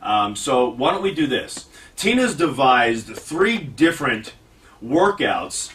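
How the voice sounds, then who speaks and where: A man's voice: medium pitch, sing-song, takes it slowly, fairly bright tone, far from the mic. A man hosting a fitness radio show, talking casually, rambling and mocking himself. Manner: rambling, self-mocking, restarts often. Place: radio studio.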